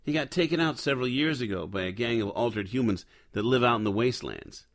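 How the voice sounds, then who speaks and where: A man's voice: mid-range voice, fast talker, lightly acted. An actor playing a hard-boiled private detective who narrates his case in a noir audio drama. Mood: businesslike. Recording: music under the voice.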